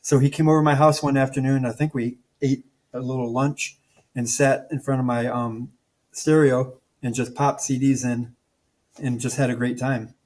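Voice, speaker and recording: A man's voice: mid-range voice, little pitch movement, normal speed, a little mellow. Chatty older man telling stories. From Michigan, raised partly in Florida. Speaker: guest, band guitarist. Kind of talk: casual storytelling.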